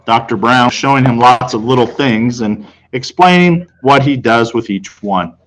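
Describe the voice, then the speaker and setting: An American man reading a children's novel aloud as a teacher, with expressive storytelling. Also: mid-range voice, unhurried, rough recording. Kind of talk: audiobook-style read-aloud of children's novel, first person.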